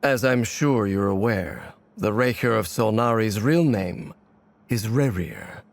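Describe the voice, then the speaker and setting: A secretive masked wanderer, lowish and gravelly. He speaks in a measured, solemn, guarded way suited to fantasy game drama. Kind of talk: video game voice acting.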